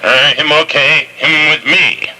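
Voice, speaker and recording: An actor playing a deadpan cowboy's sidekick who speaks in slow, clipped broken phrases in a 1970s radio comedy spoof. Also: male voice, a little high, heavily acted, poor audio. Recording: hissy 1970s radio aircheck tape.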